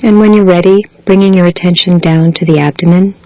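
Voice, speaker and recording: A woman guiding a body scan meditation in a slow, measured way, leaving long pauses between phrases. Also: fairly low pitch, fairly even intonation, dark tone, no echo, dull audio. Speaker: meditation guide. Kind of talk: guided body scan meditation, scripted soothing instruction.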